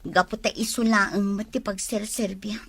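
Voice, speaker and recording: A woman acting a tender, lovelorn young rural homemaker in an audio drama, with an Ilocano accent and breathy, plaintive delivery. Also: medium pitch, little pitch movement, moderate pace. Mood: sad, confiding.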